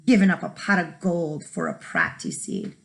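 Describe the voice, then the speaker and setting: A woman's voice: sits low, big pitch swings, moderate pace, vocal fry, voice pushed down, heavily acted. A woman voicing an exasperated, scolding farm wife in a children's storybook, with emphatic delivery. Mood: furious, scolding.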